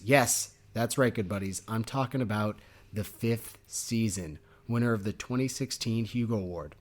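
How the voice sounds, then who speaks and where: Young male podcast co-host, casual, jokey, quick to laugh. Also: medium pitch. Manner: jokey and animated, laughs often. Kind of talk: casual book chat.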